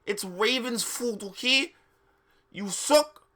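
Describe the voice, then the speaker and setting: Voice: medium pitch, lively intonation, takes it slowly, bright, forceful tone, voice pushed up. A performer voicing a defensive, bratty teenage girl author with a whiny, petulant manner. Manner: petulant, foul-mouthed.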